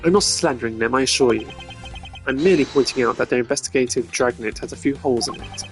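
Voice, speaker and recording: A man voicing a composed, relentless video game prosecutor who speaks in a formal, measured, confident way. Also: mid-range voice, faint music behind, natural voice. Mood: assured.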